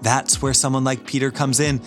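A man hosting a podcast, giving a scripted introduction and ad read in a polished, measured way. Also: medium pitch, little pitch movement, soft background music. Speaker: podcast host, university teacher.

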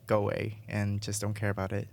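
A young man from Vietnam with a Vietnamese accent, a student answering interview questions in a halting way, searching for words. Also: lowish voice, monotone, vocal fry, darkish tone.

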